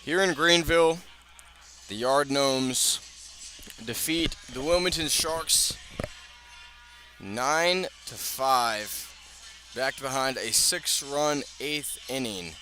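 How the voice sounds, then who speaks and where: A man calls a baseball game as a radio play-by-play announcer. His voice is bright and mid-pitched, and he speaks in clipped phrases with pauses. Faint music sits behind him. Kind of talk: live radio baseball commentary.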